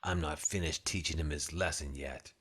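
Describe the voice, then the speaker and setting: A man's voice: very deep voice, fairly even intonation, moderate pace, fairly bright tone, husky, heavily acted. A man voicing cocky, menacing young male vampires in a comic-book cartoon. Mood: cold, dismissive. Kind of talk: motion comic voice acting. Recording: music behind the voice.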